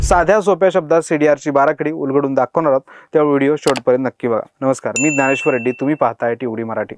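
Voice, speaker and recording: A man's voice: a little high, dry recording. A man presenting a scripted news explainer in an emphatic manner, posing rhetorical questions.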